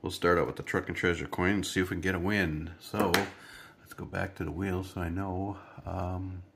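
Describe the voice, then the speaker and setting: A man's voice: low voice, little pitch movement, moderate pace, creaky, background noise. A man hosting a scratch-ticket video at his kitchen table, chatting to viewers with a stuffy, congested nose. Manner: chatty, rambling, talks to viewers while scratching. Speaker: lottery scratch-off channel host. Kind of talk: casual scratch-ticket commentary.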